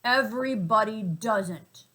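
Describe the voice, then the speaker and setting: A woman reading a storybook aloud and voicing an old male rhinoceros who is vain, gloomy and angry, speaking loudly and mournfully. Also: on the high side, animated, a little slow, voice pushed up.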